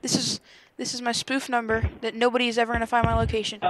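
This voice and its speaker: Teen boy, high voice, needling someone on a phone call, headset mic with background noise. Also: completely flat delivery, quick pace, fairly bright tone. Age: teens. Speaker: video creator prank-calling a scammer. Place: indoor desk setup. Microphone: gaming headset.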